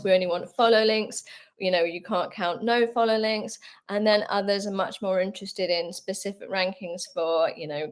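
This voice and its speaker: A woman who heads a company, explaining her work as a webinar panelist in a businesslike way with frequent fillers. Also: medium pitch. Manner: businesslike, filler-heavy with kind of and um. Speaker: CEO and co-founder, panelist. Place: video call.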